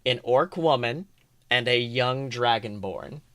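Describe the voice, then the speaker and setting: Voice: medium pitch, slow, a bit bright, no room sound, studio quality. A game master narrating a tabletop adventure in an informal, improvised way, swearing casually. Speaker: game master and podcast host.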